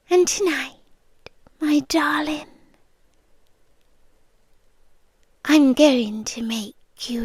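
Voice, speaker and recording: A British woman playing a tender, possessive vampire girlfriend in hushed, coaxing ASMR roleplay, often whispering close to the microphone. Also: high-pitched, dry recording, exaggerated voice. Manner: slow, hushed, lingering, coaxing with soft pauses. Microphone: close microphone, dry.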